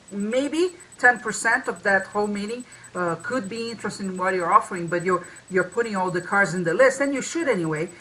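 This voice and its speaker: A woman's voice: medium pitch, bright, forceful tone, low-quality recording. A woman co-hosting a marketing talk over a video call, with a strong voice and a loose, restarting way of speaking. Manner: many restarts and fillers. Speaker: co-host. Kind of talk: casual webinar talk.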